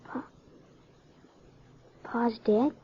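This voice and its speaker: A performer playing a hot-tempered, grieving American frontier boy with rural speech in a 1950s Western radio drama. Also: very slow. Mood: shocked, insistent. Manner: rural, defiant. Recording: muffled, very noisy 1950s radio recording.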